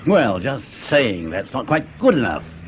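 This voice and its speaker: An actor playing a bitter, wronged intelligence agent, clipped and resentful, in a radio drama. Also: male voice, medium pitch, sing-song, unhurried, a little hiss or hum.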